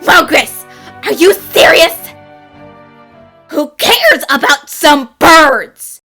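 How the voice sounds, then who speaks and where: A woman's voice: high-pitched, animated, drawn-out words, bright, forceful tone, soft background music, exaggerated voice. A woman voicing a haughty, money-hungry, short-tempered young fiancee in an anime dub, with an indignant, demanding manner.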